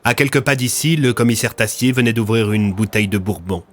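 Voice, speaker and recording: A man's voice: fairly low pitch, fairly quick. A man narrating a scripted audio fiction as a storyteller. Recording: music under the voice.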